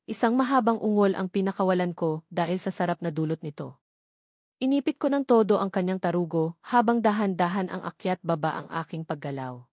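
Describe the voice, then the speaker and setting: A woman's voice: medium pitch, darkish tone, no echo, clean studio sound. A woman with a Filipino accent reading a scripted first-person story as the narrator of an audio novel.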